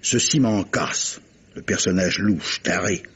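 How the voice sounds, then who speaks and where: An actor playing an urbane, clever gentleman captain and amateur detective in a 1950s-style French radio mystery, courtly and theatrical, savouring his words. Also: deep voice, slow pace, husky, exaggerated voice. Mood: animated, teasing. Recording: muffled vintage radio recording, faint noise.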